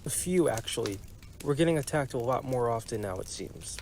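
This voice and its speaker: A man's voice: mid-range voice, animated, brisk, darkish tone, close to an everyday voice. A man acting as a weary survivor in a comic-book drama. His mid-range voice is subdued and matter-of-fact, with faint noise in the background. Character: weary, matter-of-fact male survivor under frequent attack.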